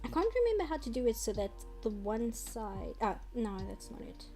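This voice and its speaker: A woman's voice: on the high side, very animated, normal speed, dark tone. A young woman from South Africa with a South African accent, an Afrikaans speaker, chatting casually on stream while she draws and sometimes muttering to herself as she works. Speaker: artist streamer. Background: faint background music.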